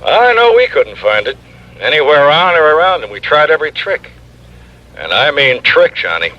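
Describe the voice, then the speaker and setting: Blustery, bright, animated American police lieutenant. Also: male voice, on the high side, normal speed. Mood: frustrated, emphatic. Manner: blustery, grumbling, emphatic. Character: exasperated, blustery male New York police lieutenant. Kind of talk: old-time radio detective drama. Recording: dull lo-fi vintage radio recording.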